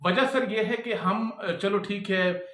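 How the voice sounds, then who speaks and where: A man's voice: high voice, steady tone, slow pace, fairly bright tone, a little hiss or hum. Man teaching in an echoey room. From Pakistan. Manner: conversational, keeps checking in with "okay?". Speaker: freelancing instructor and employer. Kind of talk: casual talking-head lesson.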